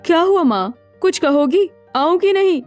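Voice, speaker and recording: Casting: actress as proud well-off young wife, high chirpy voice, self-assured, cartoon dialogue. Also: female voice, lively intonation, normal speed, faint music behind. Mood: breezy. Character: proud young married daughter from rich household. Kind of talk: Hindi cartoon story dialogue.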